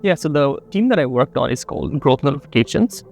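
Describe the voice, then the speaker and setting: A young man from Bangladesh, a college student, answering interview questions in a relaxed, rambling way with lots of fillers. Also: medium pitch, brisk, gravelly. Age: young adult.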